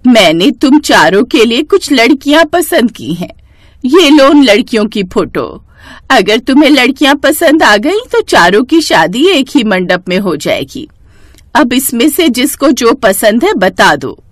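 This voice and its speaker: A woman voicing a brisk, persuasive older village aunt in a storybook tale. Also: on the high side, sing-song, dry recording, clean studio sound. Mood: cheerful, brisk.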